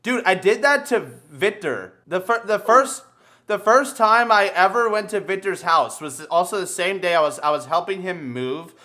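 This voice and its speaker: A young man with a high, bright, forceful voice hosts a casual comedy podcast. He swears freely and tells stories theatrically, doubling back on words as he builds to a point. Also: lively intonation, moderate pace. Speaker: podcast host. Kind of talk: casual comedic storytelling chat.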